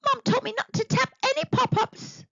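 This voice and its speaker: A woman voicing an eager, anxious young girl in a storybook, with an earnest, childlike, expressive manner. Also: very high voice, moderate pace, creaky, no room sound, muffled recording, pitched above the performer's own voice, full character voice. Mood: shocked.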